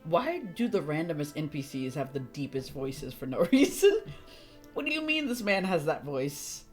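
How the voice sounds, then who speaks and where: A woman's voice: low voice, big pitch swings, moderate pace, faint music behind. A woman game streamer giving casual, offhand, wry commentary during a livestream.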